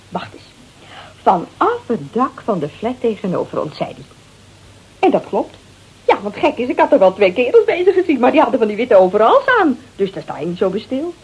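A woman's voice: medium pitch, husky, muffled recording. An actress in a radio play, playing a brash, coarse-tongued married woman confessing under threat in hesitant, colloquial speech. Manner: hesitant, colloquial, peppered with little tags. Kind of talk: Dutch radio play dialogue.

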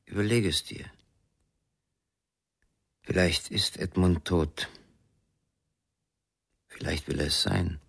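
Husky, very deep, thoughtful man. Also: a bit bright, full character voice. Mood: detached, pensive. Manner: thoughtful, deliberate. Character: reflective, detached man reasoning quietly. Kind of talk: German radio crime drama.

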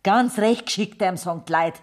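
A woman's voice: mid-range voice, moderate pace, close to an everyday voice. Bitter, mocking Bavarian peasant mother played by an actress. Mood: bitter mockery. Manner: sardonic, accusing, blunt dialect. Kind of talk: radio play acting.

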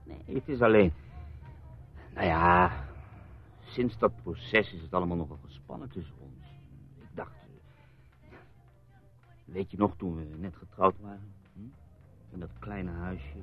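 A man's voice: sits low, expressive, unhurried, long pauses, soft background music. A man acting in a radio drama as a reporter husband, evasive and teasing, with a wry, placating manner. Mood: placating, wistful. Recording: dull, muffled radio play recording.

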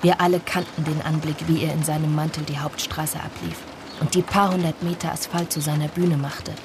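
An actor playing a somber villager who narrates a radio drama in a measured, brooding way. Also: mid-range voice, level tone, noisy background, natural voice. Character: somber village narrator recalling an old tragedy. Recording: music bed under the voice. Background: music.

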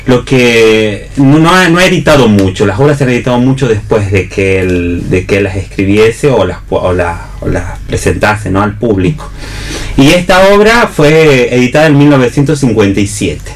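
A man's voice: medium pitch, expressive, moderate pace. A man with an Argentine Spanish accent explaining things off the cuff as a theatre actor on radio, discursive and often checking he is followed. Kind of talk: unscripted explanatory talk.

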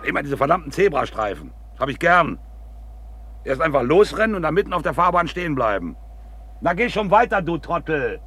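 A man's voice: a little high, sing-song, fairly quick, a bit bright, slight background noise. An actor playing a boastful, hot-tempered middle-aged businessman in a radio crime drama, domineering and self-righteous. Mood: angry, shouting.

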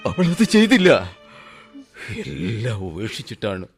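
An actor playing a grave, sorrowful old father in a radio drama, halting and emotional in his delivery. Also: mid-range voice, wildly swooping pitch, faint music behind. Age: older. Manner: halting, emotional, swelling and hushing. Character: grave, sorrowful elderly father, head of family. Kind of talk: melodramatic radio drama acting.

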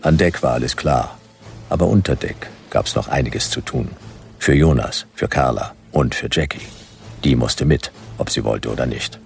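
A laconic noir detective, tells his own case in a radio drama. The voice is extremely low and full of gravel, with short, dry, deadpan phrases. Faint music runs underneath. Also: moderate pace, heavily acted. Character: hard-boiled laconic male detective narrating his own story. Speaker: narrator. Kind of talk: noir radio drama narration, clipped sentences.

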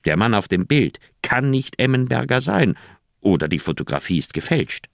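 An actor playing an earnest, insistent middle-aged doctor in a radio crime drama, speaking in an emphatic, persuasive way. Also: male voice, medium pitch, big pitch swings, normal speed, creaky, dry recording, clean studio sound. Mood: insistent, persuading.

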